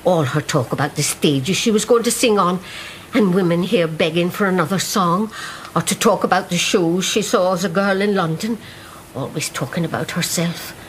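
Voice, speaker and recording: A woman's voice: lowish voice, normal speed, a little hiss or hum, close to an everyday voice, poor audio. An actress in a radio drama playing an elderly woman, a former mill worker who reminisces wistfully and bitterly. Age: older. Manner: reminiscing, drifting into song. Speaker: Missus O'Hare.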